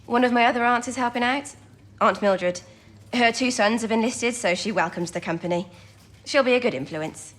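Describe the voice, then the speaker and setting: Actress playing a bright young codebreaker girl in a wartime radio play, highish voice, affectionate. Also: normal speed, close to an everyday voice. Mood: earnest, affectionate. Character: bright, affectionate twenty-year-old female codebreaker. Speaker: actor in an audio drama. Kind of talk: period radio drama acting.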